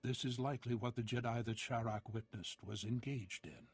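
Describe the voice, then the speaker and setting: A man voicing an ancient, half-mad soothsayer in a video game, slow, cryptic and portentous. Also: medium pitch, breathy edge, faint music behind, close to an everyday voice. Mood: solemn. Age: older. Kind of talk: scripted video game acting.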